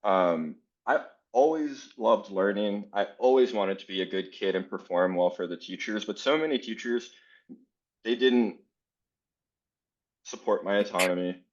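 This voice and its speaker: A man from South Florida leads a workshop for teachers in a very low voice. He talks in a casual, earnest way and fills his pauses with "um." The audio is dull and lo-fi, like a video call. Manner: casual, many fillers, earnest. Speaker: educator leading a workshop. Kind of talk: conference workshop presentation.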